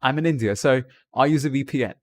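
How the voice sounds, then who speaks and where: A man's voice: medium pitch, quick pace. A man doing a put-on British accent, chatting on a live stream from India and giving rambling, jokey tips.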